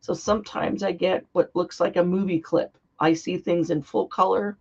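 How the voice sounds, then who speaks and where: Woman guest, slightly hoarse from a cold, earnest and heartfelt delivery; gravelly voice, muffled lo-fi recording. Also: fairly low pitch, fairly even intonation, normal speed, darkish tone. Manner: earnest, heartfelt, flowing explanations. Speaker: guest psychic. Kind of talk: interview conversation. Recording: dull lo-fi sound.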